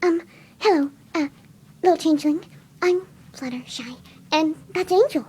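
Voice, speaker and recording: A woman plays a kind, timid cartoon pony mare in a fan-fiction reading. The voice is high, tender and hesitant, speaking softly with gentle care. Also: normal speed. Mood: gentle concern. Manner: soft-spoken, hesitant, tender.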